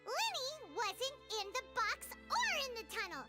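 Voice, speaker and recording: A woman voicing a small, excitable, smug fairy girl in an anime game, with a squeaky childlike voice and boastful delivery. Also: measured pace, loud music behind the voice, exaggerated voice. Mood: smug, triumphant. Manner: boastful, exclamatory.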